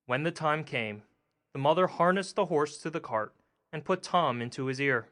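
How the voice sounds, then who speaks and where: A man narrating a fairy tale as an audiobook, in a measured, steady reading. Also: on the high side. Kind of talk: scripted storybook narration.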